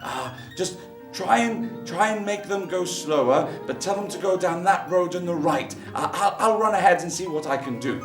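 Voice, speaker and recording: A man voicing a clever, excitable male cat servant who talks fast and stammers. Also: sits high, voice pushed up. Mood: urgent. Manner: eager, fast-talking, stammering. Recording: roomy, distant, faint guitar.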